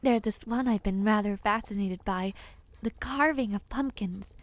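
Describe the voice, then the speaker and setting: A woman playing a kind, dreamy witch in an audio roleplay, soothing and gently formal, easily sidetracked. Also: medium pitch, moderate pace, dry recording, natural voice. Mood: chatty, fond. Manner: gentle, soothing, old-fashioned formal phrasing, easily distracted. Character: kind, dreamy peculiar witch, otherworldly and caring. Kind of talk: audio roleplay addressed to the listener.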